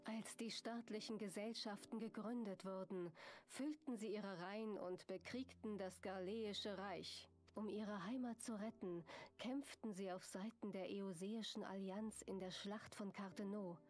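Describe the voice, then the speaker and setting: A woman plays a serene seer and leader in a fantasy game. She speaks slowly and formally at a middle pitch, with gentle authority, over background music. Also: fairly even intonation, close to an everyday voice. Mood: solemn, reverent. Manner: measured, solemn, formal. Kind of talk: scripted dramatic game narration and dialogue.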